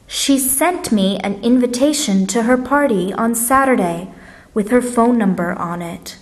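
Woman as careful, slow-speaking friendly schoolgirl. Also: on the high side. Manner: careful, deliberate enunciation. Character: Sarah, friendly girl phoning a friend about a party. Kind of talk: scripted language-lesson dialogue, slow careful delivery.

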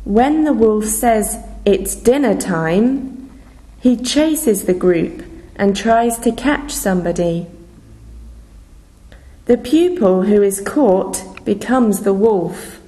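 A woman from England, reading game rules slowly for a listening lesson. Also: mid-range voice, lively intonation, mellow, low-quality recording. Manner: very slow, deliberate, carefully spaced reading. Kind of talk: scripted listening-lesson narration.

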